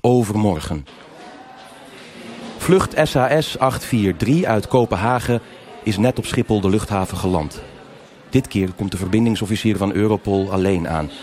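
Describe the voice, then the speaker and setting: A man's voice: fairly low pitch, moderate pace, a little mellow, close to an everyday voice. A man playing the narrator of a live noir radio crime drama, with music behind him. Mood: measured storytelling. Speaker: narrator and investigating officer in a radio crime serial. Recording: live performance with music underneath.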